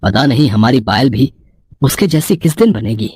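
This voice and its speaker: A man's voice: medium pitch, big pitch swings, moderate pace, darkish tone, breathy edge, no echo. A man voicing a middle-aged father and uncle in a moral story, earnest, worried and admonishing. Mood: reproachful.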